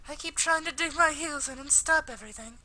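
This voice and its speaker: A man voicing a sweet, polite teenage schoolgirl from an anime visual novel in an airy, gentle falsetto. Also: brisk, slight background noise, exaggerated voice, rough recording. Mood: gently curious.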